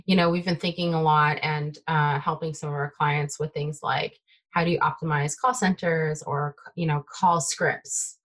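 A young adult woman from the Bay Area, whose first language is Taiwanese, chatting excitedly as a guest developer in an interview. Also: low-pitched, moderate pace. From United States (Bay Area). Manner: excitable, filler-heavy, trailing off. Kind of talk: casual tech interview chat.

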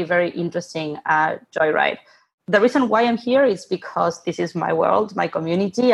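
Woman, from Argentina, podcast guest, husky and creaky, casual conversational explaining. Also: on the low side. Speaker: podcast guest, open source funding co-founder.

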